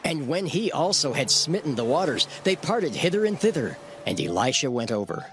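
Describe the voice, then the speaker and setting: A man's voice: fairly high pitch, sing-song, normal speed, fairly bright tone, a little hiss or hum. A man narrating dramatized scripture, telling the archaic text as formal storytelling.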